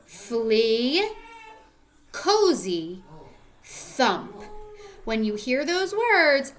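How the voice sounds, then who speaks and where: A woman teacher reading a picture book aloud to young children, deliberate and expressive, prompting them with questions. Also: fairly high pitch.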